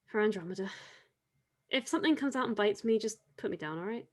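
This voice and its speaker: A woman's voice: mid-range voice, expressive, quick pace, lightly acted. A woman playing a devout, teasing island woman in an audio drama table read, speaking in a dry, reflective way. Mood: uneasy. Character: wry, devout island woman, teasing grave-robbing companion.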